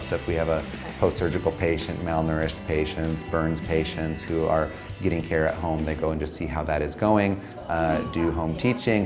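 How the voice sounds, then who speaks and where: A man who is a hospital medical director, clearly explaining how the hospital's work is done. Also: very deep voice. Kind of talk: explanatory interview. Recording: music playing behind.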